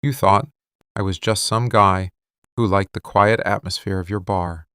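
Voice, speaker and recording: A man playing a possessive, brooding mafia boss lover in a scripted boyfriend ASMR roleplay, menacing and commanding, with deliberate dramatic pauses. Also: fairly low pitch, fairly even intonation, a bit bright, smooth, dry recording, clean studio sound. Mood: menacing, controlled.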